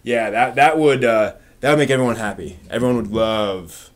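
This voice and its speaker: Male live stream host, mid-pitched, casual and opinionated, halting as he thinks through replies to chat.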